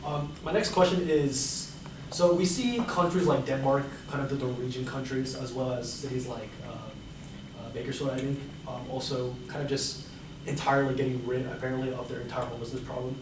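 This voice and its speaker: A man's voice: medium pitch, steady tone, brisk. A man interviewing a city official, asking long, hesitant questions full of fillers. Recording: echoey room, mic far off, noisy.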